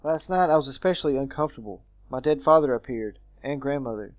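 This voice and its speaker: Man reading as a melancholy, haunted gentleman guardian. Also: mid-range voice, quick pace, dry recording, faint music behind, natural voice. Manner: formal, brooding storytelling.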